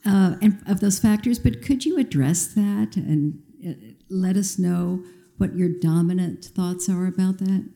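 A woman asking a question from the audience at a public forum, in a measured, articulate, conversational way. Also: fairly low pitch, creaky, mellow.

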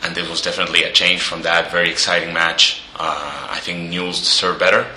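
A man's voice: sits low, level tone, brisk, sharp tone, echoey room, a little hiss or hum, poor audio. A man co-hosting a casual football podcast from his living room, analysing matches in a hesitant way that stumbles at the start of his points. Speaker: podcast co-host.

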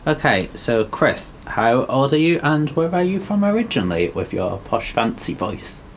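A young man, co-hosting a podcast and talking slowly with many ums and likes. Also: medium pitch, big pitch swings, a little hiss or hum. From Wigan, England. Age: young adult. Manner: slow, thoughtful, filler-heavy. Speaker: podcast co-host. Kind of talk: casual chat.

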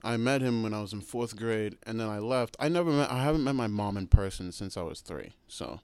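A man's voice: lowish voice, lively intonation, a little fast, a little mellow, smooth, dry recording. A young American man talking casually as a podcast guest, with many fillers like "like" and "you know". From United States. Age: young adult. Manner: laid-back, heavy use of "like" and "you know". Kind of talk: casual conversation.

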